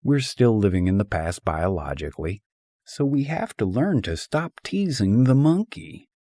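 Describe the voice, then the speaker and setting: Audiobook narrator: man with a fairly deep, very varied delivery, wry and expressive on humorous philosophical prose. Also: normal speed, dry recording, clean studio sound.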